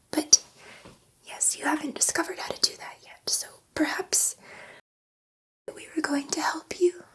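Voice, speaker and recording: A woman playing a gentle, excitable alien scientist in an ASMR sci-fi roleplay, speaking in a soft breathy whisper with a hesitant, rambling, reassuring manner. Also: high-pitched. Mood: earnest explaining.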